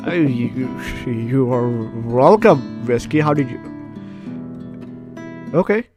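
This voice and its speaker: A man's voice: medium pitch, lively intonation, takes it slowly. Man playing and narrating a game, music behind. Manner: stumbling, repeats and rereads phrases. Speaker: gaming video host. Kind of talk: casual let's play, reading game text aloud with commentary.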